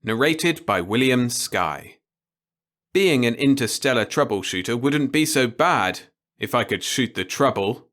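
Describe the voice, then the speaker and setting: Give the voice of a male audiobook narrator with a medium pitch. He reads a scripted first-person story, telling it as the character who lived it. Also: expressive, measured pace, a bit bright.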